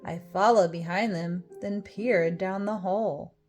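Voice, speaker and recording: A woman narrates a rhyming picture book for children at a slow, deliberate pace. Her phrasing is expressive and her pitch sits mid-range, with music underneath. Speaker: storybook reader.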